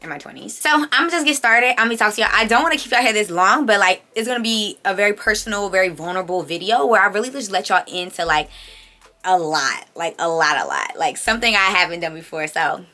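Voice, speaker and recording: A woman's voice: medium pitch, animated, quick pace, pushed and bright. A woman in her late twenties chatting casually to camera like a vlogger, slightly congested, talking emphatically with slangy asides. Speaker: vlogger hosting own channel. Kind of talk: casual confessional chat to camera.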